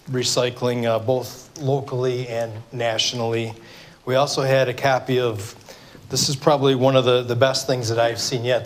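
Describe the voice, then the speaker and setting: Middle-aged Michigan man, scratchy cold voice, mid pitch, informal town hall moderator, faint room noise. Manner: informal, many fillers and restarts. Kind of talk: public meeting address. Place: city hall meeting room. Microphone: microphone for televised recording.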